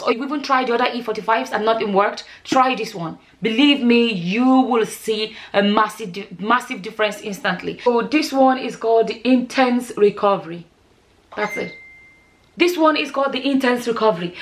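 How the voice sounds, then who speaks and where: Lady in her 40s vlogging to her camera, kinda high voice, says things twice to drive it home. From Nigeria. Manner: repetitive, earnest, emphatic. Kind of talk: casual vlog talk to camera.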